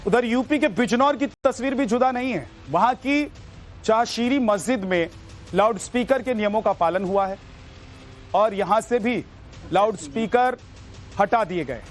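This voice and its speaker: High-pitched male TV news voice over music. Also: expressive, unhurried. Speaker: news anchor narrating field reports. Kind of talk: dramatic Hindi TV news voice-over. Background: music bed.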